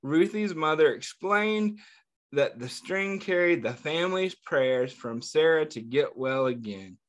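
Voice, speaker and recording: A man reading a picture book aloud to children, pausing to ask them gentle questions between passages. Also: on the high side, lively intonation. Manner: slow, pausing reading with small slips. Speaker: children's storyteller. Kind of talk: read-aloud storytime.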